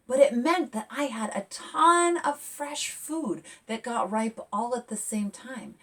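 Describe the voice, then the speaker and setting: A woman children's author talking and reading a picture book aloud for young listeners in an expressive, engaging way, as if asking them along. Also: medium pitch, breathy edge, far from the mic.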